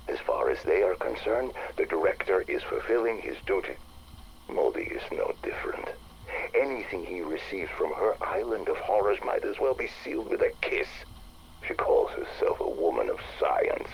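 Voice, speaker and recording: An actor playing an arrogant, sly scientist recording an audio log for a game, speaking deliberately and theatrically with disdain. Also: male voice, low-pitched, gravelly, breathy edge, exaggerated voice. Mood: smug, secretive. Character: arrogant, sly male scientist gloating over his director's decline. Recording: noisy audio log tape.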